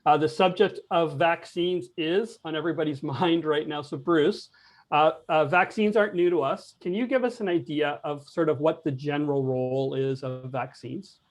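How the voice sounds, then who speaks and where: A man hosting a church discussion as a loose, conversational interview, speaking in a halting, informal way. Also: on the high side.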